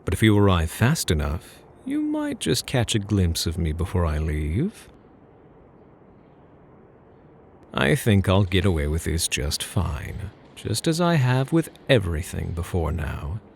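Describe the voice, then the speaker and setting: Casting: male actor for playful, smug gentleman thief, ASMR roleplay; gravelly, very animated delivery, teasing, flirty, mock-courteous. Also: deep voice, unhurried, few pauses, a little hiss or hum, exaggerated voice. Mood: taunting, mock-polite. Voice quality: husky, gravelly. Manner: teasing, mock-polite, theatrical.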